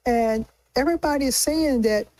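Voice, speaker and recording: A woman's voice: high-pitched, moderate pace, mellow, dry recording. A woman hosting a casual news discussion in a conversational way. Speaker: host.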